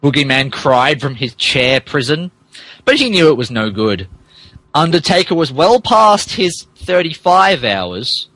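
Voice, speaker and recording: A man's voice: on the high side, sing-song, a little slow, pushed and bright, dry recording, low-quality recording. A man reading fan fiction aloud as a podcast host, in mock-dramatic narration broken by amused asides. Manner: theatrical, breaks character to comment.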